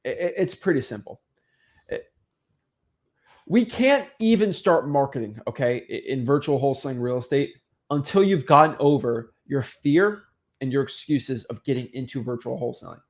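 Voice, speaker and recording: A man's voice: medium pitch, lively intonation, moderate pace. A young man and host, giving a motivational teaching talk on real estate with emphatic rhetorical questions and frequent checks like "right?" and "okay?". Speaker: online real estate coach.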